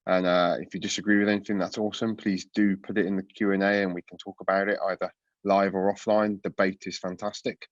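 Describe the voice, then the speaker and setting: A man with a cold, sounding congested, presenting a product webinar with a relaxed manner and many fillers. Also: deep voice, fast talker, no echo, muffled recording. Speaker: product coach giving a webinar talk. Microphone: video call.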